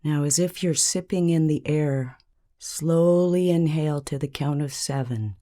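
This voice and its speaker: A woman narrating a scripted guided meditation in a calm, measured, soothing manner with long pauses. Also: low voice.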